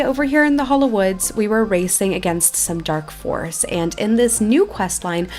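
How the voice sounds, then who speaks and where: A woman hosting a gameplay video in a chatty, enthusiastic way, giving upbeat commentary. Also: medium pitch. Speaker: YouTube gaming host. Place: indoor voice-over over game footage. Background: background music.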